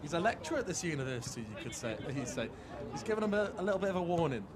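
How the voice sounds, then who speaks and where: A young man giving relaxed, explanatory colour commentary on a student football match. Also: a little high, rapid-fire. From Wales. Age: young adult. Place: outdoor football ground. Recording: live outdoor broadcast with heavy crowd. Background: very loud crowd noise.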